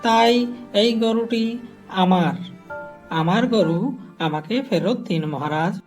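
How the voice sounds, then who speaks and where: Guy voicing poor proud brahmin man in a cartoon, stubborn, preachy halting delivery, bit of music behind. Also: high-pitched. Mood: indignant. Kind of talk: cartoon dialogue.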